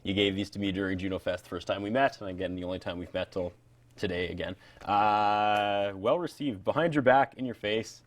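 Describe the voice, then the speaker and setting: A man's voice: lowish voice, fairly even intonation, brisk. A man hosting a casual interview show. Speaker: talk show host.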